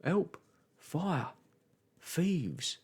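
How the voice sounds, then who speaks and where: A man's voice: a little high, very animated, extremely slow, breathy edge, voice pushed up, full character voice. A storyteller voicing a panicky, indignant postman who wails frantic protests, in an audiobook.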